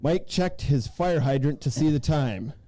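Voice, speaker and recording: Guy hosting a goofy podcast, kinda high voice thats all over the place, laughs while he talks. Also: lively intonation, unhurried, warm tone, no echo. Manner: jokey, laughs through sentences. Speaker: podcast host. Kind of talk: casual chat, reading silly Mad Lib stories aloud.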